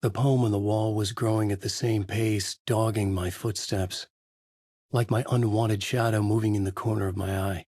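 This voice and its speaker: A haunted male horror novelist in a video game. His voice is low and husky, recorded very dry and close. He delivers brooding noir lines in grave, literary fragments, tormented and desperate. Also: fairly even intonation, moderate pace, darkish tone, no room sound.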